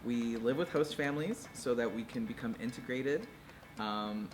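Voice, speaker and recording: A young man with an American accent gives a casual testimonial as a volunteer. His voice is middle-pitched, with music playing clearly behind it. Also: moderate pace. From Arizona, United States. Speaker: Peace Corps volunteer.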